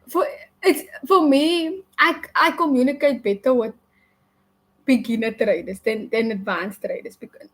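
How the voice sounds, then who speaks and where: A young Afrikaans-speaking woman talks her way through a live trading session in loose, unscripted chat. Her voice sits a little high. She often stops mid-thought and starts again. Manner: halting, many restarts and self-corrections. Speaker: live forex trader. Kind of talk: casual live-stream chat while trading.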